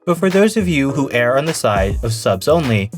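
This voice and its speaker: A man's voice: mid-range voice, big pitch swings, moderate pace. A man reading an earnest, argumentative scripted narration for a video essay. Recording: narration over music.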